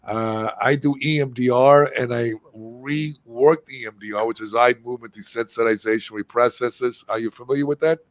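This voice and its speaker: A man's voice: medium pitch, steady tone, moderate pace, hardly any pauses, dry recording. Old guy in his 70s hosting, rambles and laughs a lot, dry close mic. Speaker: show host. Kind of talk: casual interview chat.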